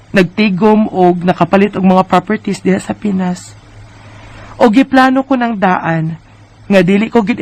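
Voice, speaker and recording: A woman's voice: mid-range voice, darkish tone, close to an everyday voice. A woman with a Filipino Visayan accent acting a weary, homesick wife in an earnest radio drama. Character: weary, homesick Filipina wife divorcing her American husband.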